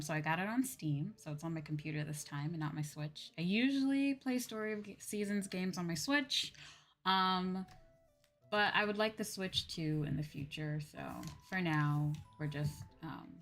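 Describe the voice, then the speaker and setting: Woman streamer, voice a bit on the low side, chatty and rambly, casual stream talk w music in the background. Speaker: streamer, VTuber host. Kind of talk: casual livestream chat. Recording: music playing behind the voice.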